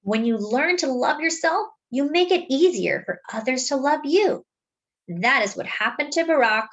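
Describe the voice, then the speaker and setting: Female storyteller for children's read-aloud: higher-pitched, very animated intonation, deliberate dramatic phrasing. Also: rough recording. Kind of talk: expressive picture book read-aloud.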